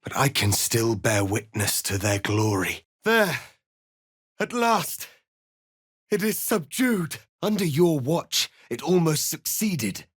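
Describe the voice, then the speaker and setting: An actor playing a proud, righteous rebel warrior prince in a fantasy video game, speaking in a solemn, formal, declamatory way. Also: male voice, on the high side, very animated, slow, a bit bright, slightly rough, no echo, studio quality, full character voice. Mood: grave, resigned, then stern, commanding.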